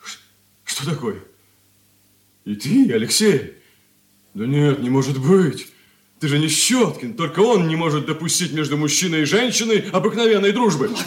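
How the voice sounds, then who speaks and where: A man's voice: fairly high pitch, animated, moderate pace, pauses often, roomy sound, close to an everyday voice. An actor playing an indignant, haughty young man in a radio drama, declaiming with theatrical scorn. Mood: scornful. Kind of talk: 1980s Soviet radio play acting.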